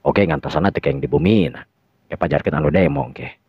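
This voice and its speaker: A man playing a composed, resolute young village hero in a radio drama, calm, measured and respectful. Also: extremely low pitch, gravelly, breathy edge, pitched below the performer's own voice, exaggerated voice. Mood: firm, instructing. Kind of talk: Sundanese radio drama acting. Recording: dull lo-fi recording with echo.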